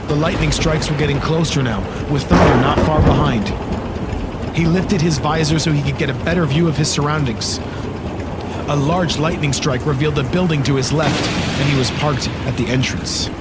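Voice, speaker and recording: A man's voice: on the high side, heavy background noise. A man narrating a scripted horror audio drama, with storm and engine sound effects behind him. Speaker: story narrator. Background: loud storm and vehicle ambience.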